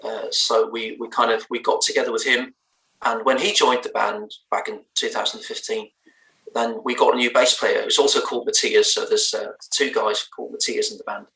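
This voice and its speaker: A man's voice: mid-range voice, level tone, moderate pace, pushed and bright, reverberant, far from the mic, low-quality recording. A man with a Danish accent, a native Danish speaker, answering interview questions in a thoughtful, hesitant way with many fillers and restarts. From Denmark. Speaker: band's lead singer. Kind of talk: remote interview chat.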